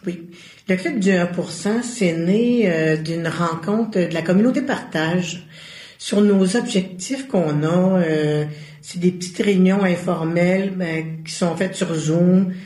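A woman's voice: fairly low pitch, slow, dark tone. A woman with a Québécois French accent, a guest in an interview, talking earnestly and searching for her words as she goes. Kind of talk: casual conversational interview. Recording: roomy echo.

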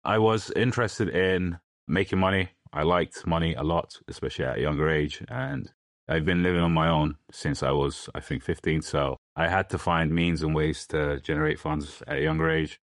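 Very deep-voiced young man. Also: moderate pace, dry recording, studio quality. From London, England. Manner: plain, matter-of-fact explaining with loose restarts. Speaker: guest. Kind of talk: podcast interview answers.

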